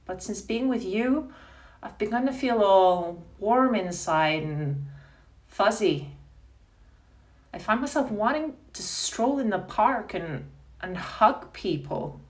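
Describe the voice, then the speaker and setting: Actress as a slow, pleading, sharp-tongued woman, distant mic. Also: mid-range voice, expressive. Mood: anxious confiding. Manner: pleading, melodramatic, deliberate with hesitations. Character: cold, self-declared bitch begging not to be proposed to. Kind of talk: theatrical stage monologue.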